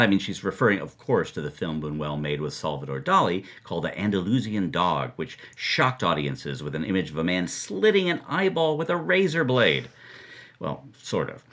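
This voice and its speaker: A man's voice: deep voice, fairly bright tone. A man co-hosting with wry, explanatory commentary in a conversational style. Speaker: co-host. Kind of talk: scripted conversational film-history commentary.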